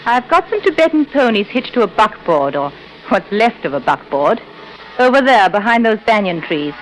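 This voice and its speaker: Woman acting a brisk, cynical widow. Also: mid-range voice, big pitch swings, lightly acted, low-quality recording. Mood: matter-of-fact. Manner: brisk, sardonic, unsentimental. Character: brisk, cynical English widow guide in the jungle. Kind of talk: old-time radio adventure acting. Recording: old radio drama recording with music.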